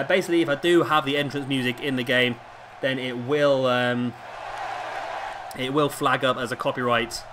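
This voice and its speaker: A hoarse man with a sore throat giving casual play-by-play commentary as host of a wrestling game video. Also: mid-range voice. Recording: clearly noisy home recording.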